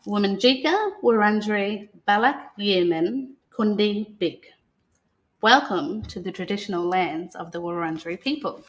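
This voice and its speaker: An Australian woman speaking as a guest storyteller, talking and reading a picture book aloud in a gentle manner. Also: medium pitch, a little slow, slight background noise. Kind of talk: unscripted talk and picture-book read-aloud.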